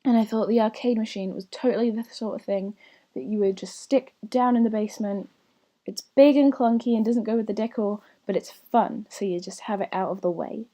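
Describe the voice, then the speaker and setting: A woman giving a casual, rambling voiceover about her building game, slightly croaky as she gets over losing her voice, with soft whispery asides. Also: a little high. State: slightly croaky, recovering from lost voice. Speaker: gaming channel host.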